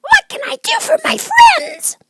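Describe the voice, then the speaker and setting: A man voicing a tiny, fretful female mouse queen in a squeaky little voice for a storybook reading. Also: animated, unhurried, creaky, heavy background noise, exaggerated voice. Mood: squeaky, urgent. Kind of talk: audiobook character voice.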